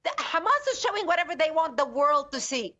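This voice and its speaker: Forceful high-voiced woman on a lo-fi link. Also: animated, fast. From Lebanon. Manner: forceful, indignant, emphatic repetition. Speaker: interview guest, security analyst. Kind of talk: remote news interview.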